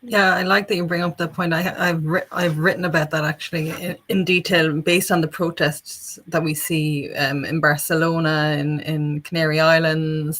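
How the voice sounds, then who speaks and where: Bright, forceful woman panelist. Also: low voice. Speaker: tourism expert panelist. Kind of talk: unscripted panel discussion.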